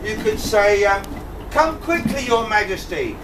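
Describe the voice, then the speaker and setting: A man's voice: sits high, lively intonation, measured pace. A man playing a forgetful, jokey pantomime king, coaxing and bantering with the audience in a theatrical way. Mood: coaxing, playful. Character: forgetful, jokey pantomime king fussing over his rose. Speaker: amateur pantomime actor. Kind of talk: live pantomime, addressing the audience. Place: indoor tavern stage with live audience. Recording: echoey hall from far off, audience noise.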